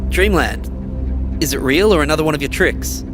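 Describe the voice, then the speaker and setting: A woman's voice: low voice, animated, moderate pace, music in the background. A woman playing a hot-tempered, exasperated, sarcastic survivor in a dystopian audio drama. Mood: questioning.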